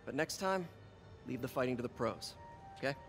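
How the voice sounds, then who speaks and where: A young superhero in a game cutscene, with an average-pitched voice and faint music behind him. He is wisecracking and good-hearted, and talks in an encouraging, earnest way. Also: lively intonation, normal speed, creaky. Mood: wry, friendly. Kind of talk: video game cutscene acting.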